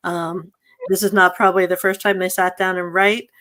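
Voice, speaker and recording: A woman's voice: fairly low pitch, flat delivery, normal speed, a bit bright. A woman hosting a casual panel discussion on a video call, talking in a chatty, informal way. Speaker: host of a virtual theatre talkback.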